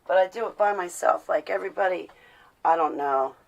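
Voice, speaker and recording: A woman's voice: on the low side, brisk, gravelly, rough recording. A woman giving a casual, rambling vlog monologue, opinionated and ranting, prone to swearing and to acting out imagined quotes. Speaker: vlogger.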